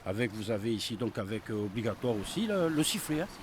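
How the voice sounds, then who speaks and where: A man's voice: on the low side, sing-song, fast, very noisy. A man playing a rambling, hot-tempered boat owner who talks with a strong accent in a comedy film. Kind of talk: burlesque comedy film acting.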